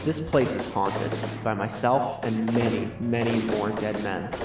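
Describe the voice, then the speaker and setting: An actor playing a grim phantom soldier in an audio drama, giving cryptic, ominous warnings in a measured way. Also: male voice, lowish voice, fairly even intonation, close to an everyday voice. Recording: echoing, distant, muffled, loud music behind.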